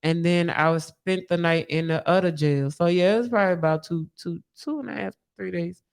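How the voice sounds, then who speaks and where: A woman telling a personal story in casual, animated podcast style, with candid slang and frequent swearing. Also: fairly low pitch, a little fast, gravelly, clear, resonant tone.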